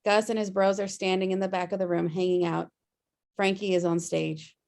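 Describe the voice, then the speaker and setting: A woman's voice: mid-range voice, flat delivery, normal speed, vocal fry, darkish tone. A woman reading scripted stage directions as narrator of a table read over a video call.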